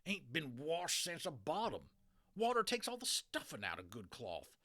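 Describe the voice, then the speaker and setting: High, indignant drawling cowboy. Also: male voice, huge pitch swings, normal speed, sharp tone, heavily acted. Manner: drawling, incredulous, folksy. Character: stubborn grimy cowboy, indignant, backwoods drawl.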